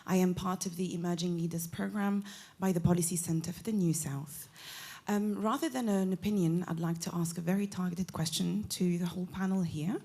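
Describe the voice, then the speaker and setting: Young woman from Belgium, audience question at a conference, lowish mellow voice, measured. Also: steady tone, vocal fry. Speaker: program participant asking a question. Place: conference hall.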